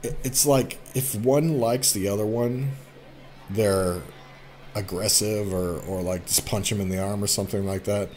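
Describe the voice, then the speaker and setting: A man's voice: fairly low pitch, steady tone, measured pace, darkish tone. A man in his thirties doing let's play commentary, reading game text aloud and chattily reacting as he goes. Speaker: gaming let's play host. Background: game music.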